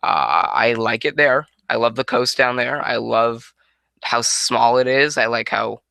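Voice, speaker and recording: A man's voice: mid-range voice, a little slow, gravelly, sharp tone, clean tone, no echo. A nineteen-year-old man from Connecticut answering viewer questions in a rambling, casual way, full of restarts, on a headset mic at home. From Connecticut, USA. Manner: rambling, many restarts and self-corrections. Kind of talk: casual Q&A monologue. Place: small room at home, indoors. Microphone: headset microphone.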